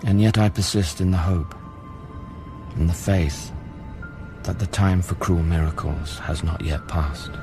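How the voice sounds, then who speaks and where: An actor playing a grieving scientist on an alien planet in an audio drama, speaking in a hushed, measured, introspective way. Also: male voice, deep voice, steady tone, gravelly, over music. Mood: yearning grief. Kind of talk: first-person audio drama narration and dialogue.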